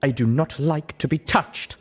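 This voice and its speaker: A man's voice: fairly high pitch, a little slow, husky, voice pushed up. A man voicing a guarded female zebra who speaks in a blunt, formal and stilted way. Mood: curt.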